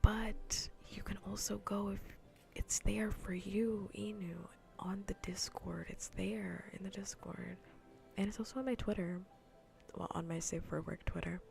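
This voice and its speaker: A woman livestreamer chatting softly in a breathy, mostly whispered ASMR voice close to the mic, rambling playfully and repeating her phrases. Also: mid-range voice, fairly even intonation, measured pace, soft background music. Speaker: livestreamer hosting an ASMR gaming stream. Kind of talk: soft ASMR casual chat to viewers. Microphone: close ASMR microphone.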